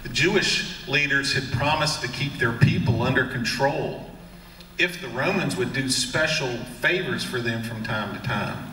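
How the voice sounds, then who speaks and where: A man acting an authoritative news commentator in a church stage drama, giving a measured explanation. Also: medium pitch, pushed and bright. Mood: grave, explanatory. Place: church hall stage performance. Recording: echoey hall, distant, faint noise.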